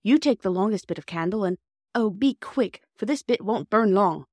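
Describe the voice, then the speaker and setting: A woman voicing a caring, practical young girl in a storybook, gentle but decisive. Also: mid-range voice, expressive, moderate pace, no echo, studio quality, voice pushed up, natural voice. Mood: urgent.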